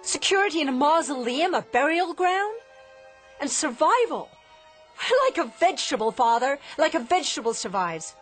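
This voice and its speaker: An actress playing a sheltered, discontented young daughter, earnest and dramatic in a radio drama. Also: female voice, high voice, animated, normal speed, soft background music. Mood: defiant, bitter.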